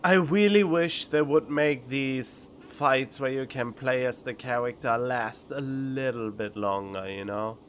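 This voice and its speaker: A man's voice: mid-range voice, a little slow, few pauses, slight background noise. A man giving casual, drily joking commentary as a game streamer, close on the microphone. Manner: dry, joking sarcasm. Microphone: close studio microphone. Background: game music.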